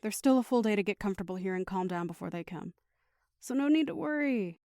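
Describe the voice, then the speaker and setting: A woman's voice: mid-range voice, animated, fast talker, darkish tone, dry recording, studio quality, close to an everyday voice. A woman playing a caring, upbeat middle-aged mother in an audio drama, speaking in a chatty, reassuring way. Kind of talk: naturalistic audio drama acting.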